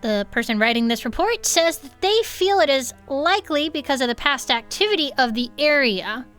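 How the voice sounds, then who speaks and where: A woman co-hosting a comedy podcast, playful and expressive as she reads aloud and chats. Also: high-pitched, moderate pace, faint music behind. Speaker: podcast co-host. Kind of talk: comic reading aloud of a report with chatty asides.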